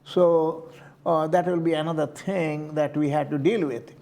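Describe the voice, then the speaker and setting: A man's voice: a little high, steady tone, a little slow, warm tone. An older man with an Indian accent, answering interview questions about his community committee work, hesitant with many fillers and restarts. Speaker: community board member.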